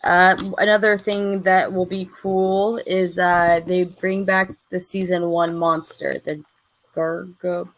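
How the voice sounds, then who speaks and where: Gravelly, lower-voiced woman. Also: level tone, no room sound, low-quality recording. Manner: unhurried, trailing off mid-thought. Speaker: co-host. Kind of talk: casual chat on a live stream.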